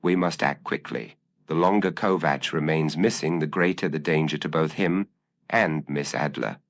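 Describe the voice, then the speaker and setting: A man's voice: a bass voice, normal speed, dry recording. A man voicing a brilliant, intense Victorian detective in an audiobook, speaking in a precise, commanding and formal way. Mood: urgent.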